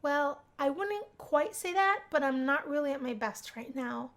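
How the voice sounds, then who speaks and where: A woman's voice: sits high, lively intonation, a little slow, fairly bright tone, exaggerated voice. A woman voicing an awkward, polite young female student in a visual novel, hesitant and stammering.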